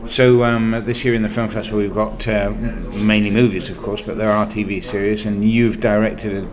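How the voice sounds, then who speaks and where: A man's voice: fairly low pitch, little pitch movement, moderate pace. A man working as an interpreter, relaying interview questions in conversational phrasing. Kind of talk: spoken consecutive interpreting of questions. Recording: crowd chatter behind voice.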